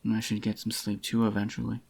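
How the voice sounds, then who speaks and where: A possessive yandere boyfriend, acted by a man, murmurs tenderly in a soft-spoken ASMR roleplay. His voice is on the low side, husky and a little groggy, with a crackly fry. He sounds intimate and doting, as if soothing his sweetheart. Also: monotone, fast talker.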